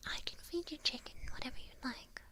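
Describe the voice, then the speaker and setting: A woman's voice: fairly quick, pushed and bright, close to an everyday voice. A woman playing a teasing, coaxing evil witch in a whispered ASMR roleplay. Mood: teasing, persuasive.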